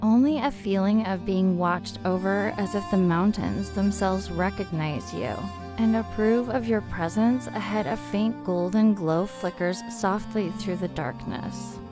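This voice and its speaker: Female sleep-story narrator, medium pitch, calm meditative delivery, gentle pacing of phrases, set over music. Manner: calm, soothing, unhurried. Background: background music.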